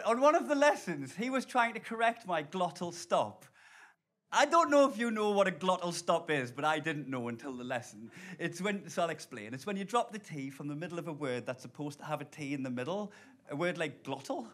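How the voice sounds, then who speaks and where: A man in his thirties from Blyth with a Geordie accent, doing an animated, sweary stand-up set live on stage. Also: sits high. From Blyth, northeast England. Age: 30s. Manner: animated, swearing, laughing storyteller. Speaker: stand-up comedian. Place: live comedy club. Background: audience laughter.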